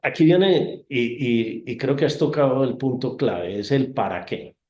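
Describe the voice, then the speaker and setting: A man hosting an interview, dropping brief replies and calm follow-up questions. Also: medium pitch, unhurried. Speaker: interview host. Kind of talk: casual interview talk. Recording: roomy, dull sound.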